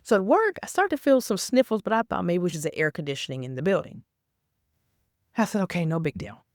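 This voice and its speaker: A woman with a raspy, hoarse voice from a cold, talking casually about faith and pushing through hard times. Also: lowish voice, big pitch swings, fast, no room sound, studio quality. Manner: rambling, stops and restarts, then earnest exhortation. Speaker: faith vlogger. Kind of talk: casual faith talk to camera.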